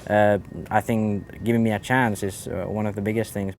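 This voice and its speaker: A young man of eighteen from Finland, a racer talking casually and quickly with lots of fillers. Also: lowish voice, flat delivery, a little hiss or hum. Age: young adult. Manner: quick, with many fillers and restarts. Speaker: RC car racer. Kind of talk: casual interview.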